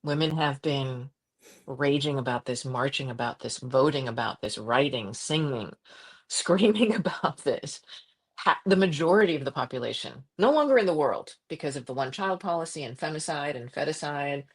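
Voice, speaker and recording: Husky, low-pitched woman from Croatia. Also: normal speed. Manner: animated, impassioned, jumps to high pitch for quoted voices; frequent fillers and restarts, laughs while talking. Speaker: podcast guest, journalist. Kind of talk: conversational interview.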